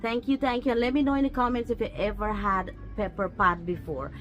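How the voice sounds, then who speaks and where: A woman's voice: medium pitch, steady tone, fairly quick, lo-fi sound, soft background music. A woman from Guyana with a Guyanese accent, casually showing a cooking recipe outdoors and chatting with her viewers. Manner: chatty, talks to viewers and pets. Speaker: cooking vlogger. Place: backyard outdoors.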